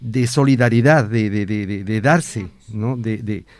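A man's voice: fairly low pitch, unhurried, no room sound. A man from Ecuador, an academic guest on a talk programme, speaking hesitantly with many fillers and repeated words. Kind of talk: conversational panel discussion.

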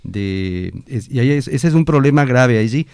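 A man's voice: mid-range voice, expressive, moderate pace, a little mellow, smooth, no echo, studio quality. A man from Ecuador, an academic guest on a talk programme, speaking hesitantly with many fillers and repeated words. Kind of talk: conversational panel discussion.

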